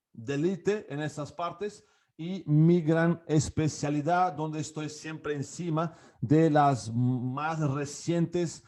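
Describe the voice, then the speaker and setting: A man in his forties speaks with a Portuguese accent, his voice sitting a little high for a man. He talks like a coach at an online class, stopping often for fillers and restarts as he works out his phrasing. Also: a little mellow. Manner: hesitant, many fillers and restarts. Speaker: karate coach and academy founder. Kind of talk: online class talk to camera.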